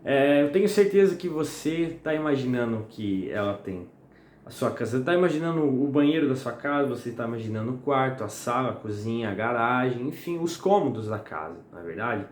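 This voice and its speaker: A young man from the São preaches at home, slightly hoarse, in a chatty, friendly way that keeps checking in with his listeners. His voice rings in an echoey room with a faint hiss underneath. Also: medium pitch, expressive, fairly quick. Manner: chatty and friendly, asks "tá?" often. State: slightly hoarse and sweating in the heat. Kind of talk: casual home-recorded sermon. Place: home at night.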